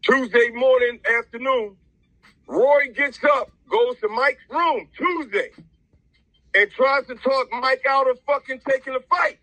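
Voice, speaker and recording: A man's voice: very high-pitched, unhurried, bright, forceful tone, dry recording, rough recording. A man telling a story casually in the first person, agitated and blunt, with heavy swearing. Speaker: interviewee, father of a boxer.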